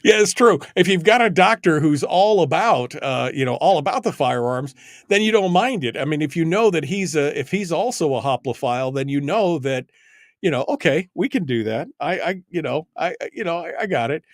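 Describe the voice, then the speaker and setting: A man hosting a call-in talk radio show, talking in a halting way and often repeating words. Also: fairly high pitch, animated, no echo, clean studio sound.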